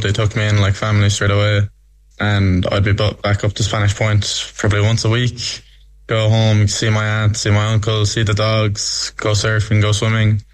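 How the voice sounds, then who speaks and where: A young man from Belgium with an Irish accent, answering interview questions in a relaxed way. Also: lowish voice, rough recording. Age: young adult. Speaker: guest, rugby player.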